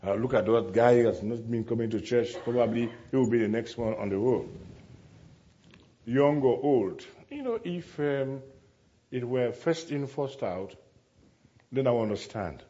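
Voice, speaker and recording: A man's voice: medium pitch, animated, measured pace, dark tone, highs cut off. A man preaching a sermon to a congregation, speaking emphatically, repeating phrases and putting rhetorical questions to his listeners.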